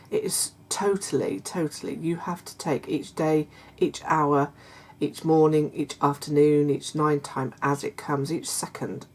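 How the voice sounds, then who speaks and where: A middle-aged woman, chatting casually to her viewers in a croaky voice, hesitant with many fillers and restarts. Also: low-pitched. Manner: warm confiding tone toward viewers. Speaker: vlogger. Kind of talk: casual chat to camera.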